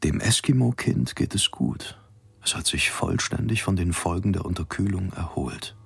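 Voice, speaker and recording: Low-voiced, sober polar expedition captain. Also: male voice, animated, moderate pace, creaky, a bit bright, breathy edge, no room sound, clean studio sound, exaggerated voice. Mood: sober, grim. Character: polar expedition captain reading his grim, callous logbook. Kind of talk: radio drama journal monologue.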